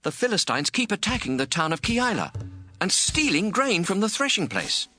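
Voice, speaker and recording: A man's voice: high voice, big pitch swings, moderate pace, sharp tone, full character voice. Anxious follower warning of danger, male actor, swooping emphatic voice over music, audio drama. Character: fearful follower soldier reporting danger to his leader.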